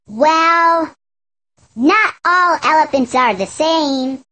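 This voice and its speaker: A woman voicing a modest, gentle young girl elephant in a children's learning game, speaking deliberately. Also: high-pitched, expressive, heavily acted. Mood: patient. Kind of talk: children's educational game acting.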